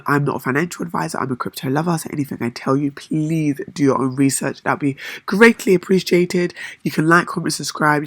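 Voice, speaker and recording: Hoarse, husky-voiced woman vlogger. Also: sits low, no echo. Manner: chatty and enthusiastic, with frequent fillers and repetitions. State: hoarse, lost voice. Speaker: crypto vlogger. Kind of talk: casual talk-to-camera vlog.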